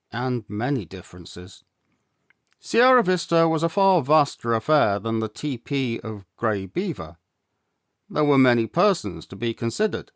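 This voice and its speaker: A man narrating a classic novel as a storyteller, with measured, deliberate phrasing and dramatic pauses. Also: medium pitch, dry recording, clean studio sound. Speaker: storyteller, audiobook reader.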